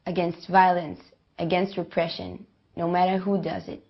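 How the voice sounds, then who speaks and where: A nineteen-year-old woman, making an earnest, deliberate appeal to the camera. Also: lowish voice, flat delivery, muffled recording. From Israel.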